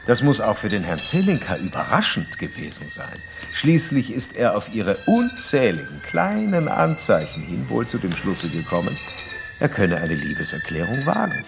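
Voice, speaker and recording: An actor playing a stern, pedantic psychoanalyst in a radio play, lecturing and condescending. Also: male voice, on the low side, wildly swooping pitch, moderate pace, gravelly, over music, heavily acted. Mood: condescending, analytical. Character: stern, pedantic male psychoanalyst probing a patient.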